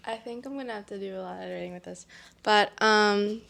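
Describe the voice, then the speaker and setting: A young woman from Massachusetts hosting a casual podcast chat. She speaks softly and reflectively, with many ums. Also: medium pitch, a little fast, vocal fry, a little hiss or hum. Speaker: podcast host and editor.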